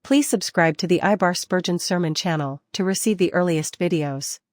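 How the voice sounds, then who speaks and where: Low-voiced woman making a scripted announcement. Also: moderate pace. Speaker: channel announcer.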